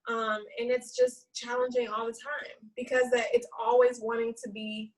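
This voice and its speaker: A woman with a fairly high voice, sitting a little back from her microphone. A therapist and yoga teacher, she speaks candidly and from the heart, returning to her key points for emphasis. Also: level tone, moderate pace, recorded from a distance. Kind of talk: panel discussion.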